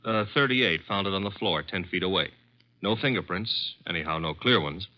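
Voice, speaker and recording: An actor playing an easygoing, wisecracking detective's assistant in a vintage radio drama, casual and matter-of-fact. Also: male voice, low voice, normal speed, lightly acted. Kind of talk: 1940s-50s radio drama acting. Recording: dull vintage radio recording.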